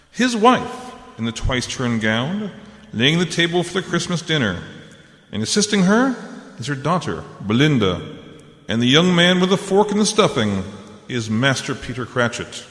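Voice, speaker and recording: An actor playing a jovial, commanding giant spirit in a radio play, with a grand, declamatory delivery. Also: male voice, fairly high pitch, very animated, moderate pace, fairly bright tone. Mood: solemn. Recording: echoey hall-like reverb on voice.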